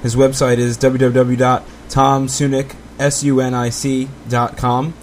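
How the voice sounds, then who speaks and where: A man's voice: medium pitch, level tone, a little slow, slight background noise. A man hosting a talk show, speaking with an emphatic, declarative delivery. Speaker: podcast host.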